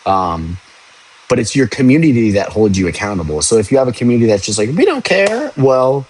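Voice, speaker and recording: A man's voice: medium pitch, big pitch swings, a little fast, a little mellow, slight background noise, poor audio. A young man who works as a police officer, chatting casually and frankly on a livestream, rambling and swearing now and then. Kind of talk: casual livestream chat answering viewers.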